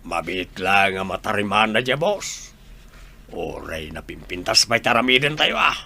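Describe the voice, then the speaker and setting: A loyal, eager working man in an Ilocano radio drama. His voice is bright and forceful with an Ilocano accent, over faint background noise. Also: lowish voice, sing-song, a little slow, vocal fry, full character voice.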